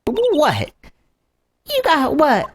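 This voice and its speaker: A woman voicing an excitable young female witness from a video game, flustered, halting and defensive. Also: high-pitched, noisy background, full character voice.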